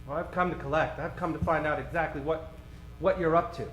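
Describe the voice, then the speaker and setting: Man playing a soft-spoken, coy club owner, roomy. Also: a little high, steady tone, fairly quick, slight background noise, close to an everyday voice. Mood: guarded. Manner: soft-spoken, hesitant, suggestive. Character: effeminate, lovelorn male club owner, coyly flirtatious. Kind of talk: parody of old-time radio detective drama. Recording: roomy, distant live stage recording.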